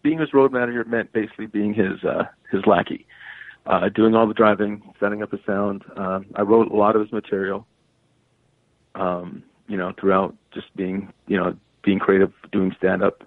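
Man, lowish voice, thin phone-quality audio, casual storytelling, interview guest. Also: fairly even intonation, moderate pace, no echo. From Canada. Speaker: interview guest, stage entertainer. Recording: tinny phone-like line, dry.

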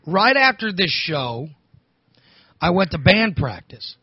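Hoarse, rambling talk radio host man. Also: high voice, very animated, takes it slowly, dry recording, highs cut off. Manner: rambling, repeats himself, restarts sentences. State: hoarse from overusing his voice. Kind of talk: casual talk radio monologue.

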